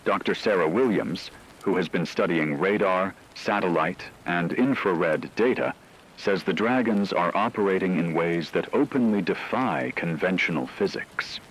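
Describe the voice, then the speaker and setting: A low-pitched actor playing a male news anchor delivers catastrophe reports for a radio drama. He is solemn, formal and measured, with faint noise behind the voice. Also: rough recording. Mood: grave. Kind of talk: scripted breaking news narration.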